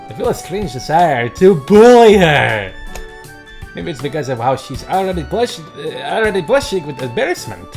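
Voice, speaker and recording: A man reading a visual novel aloud as a let's play host, mixing narration with casual, excitable commentary. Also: on the high side, measured pace, pauses often. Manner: excitable outbursts between narrated lines. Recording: game music behind the voice. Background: game background music.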